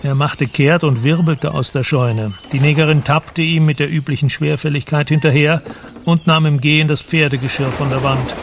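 A male narrator reads literary prose for a radio drama. His voice is fairly high for a man and strongly creaky. A faint layer of noise sits behind him. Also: fairly even intonation, normal speed.

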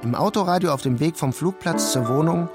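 A man reading scripted literary narration for a radio play in a measured, deliberate way. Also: fairly high pitch, animated. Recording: prominent music under the voice. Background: music.

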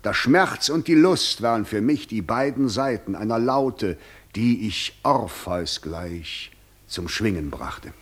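A disillusioned old Devil in a radio drama, wistful and sly. His husky, low voice moves slowly and deliberately, swinging widely in pitch as he muses. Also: animated. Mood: bitter, wistful. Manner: slow, deliberate, musing. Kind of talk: theatrical German radio play acting.

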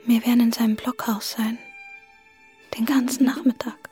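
An actress playing a dreamy, infatuated young woman in a radio play, murmuring her inner thoughts in a breathy, intimate way. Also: on the high side, flat delivery, normal speed, warm tone, close to an everyday voice. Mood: dreamy longing. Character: dreamy, infatuated young woman, inwardly narrating a longed-for tryst. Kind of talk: whispered radio-play monologue. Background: violin music.

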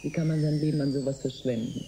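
A woman's voice: low voice, fairly even intonation, quick pace, mellow, slight background noise, natural voice. A woman playing a scornful, guarded conspirator in a German science fiction radio drama, with a cutting, mocking manner. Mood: cold.

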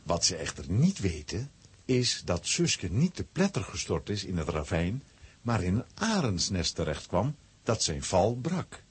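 A man narrating a children's adventure audio play as an expressive storyteller, with a rough, husky voice. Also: low voice, a little slow, full character voice. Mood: suspenseful.

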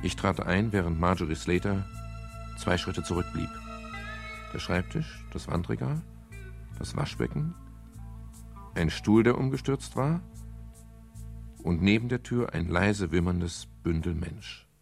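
A sober private detective with a low voice and a creaky edge. He is measured and matter-of-fact, in the manner of a radio crime play. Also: lively intonation, over music, full character voice. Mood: sober, tense. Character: pensive, matter-of-fact private detective narrating his case. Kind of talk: scripted first-person radio crime narration.

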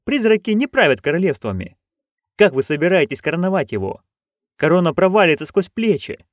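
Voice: medium pitch, big pitch swings, brisk, no room sound, clean studio sound, voice pushed up, lightly acted. A storyteller voicing an earnest, prim young witch woman who speaks properly and insistently.

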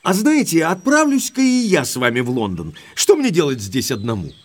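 An actor playing an earnest, uneasy baronet in an audio drama, speaking expressively and with some deference. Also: male voice, a little high, wildly swooping pitch, normal speed. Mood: dismayed.

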